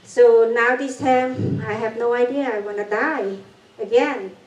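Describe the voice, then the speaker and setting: An older woman from Cambodia with a Cambodian accent, giving a personal testimony talk in halting, broken English with nervous laughs. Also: a little high, steady tone. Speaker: guest speaker. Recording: echoey room, far from mic.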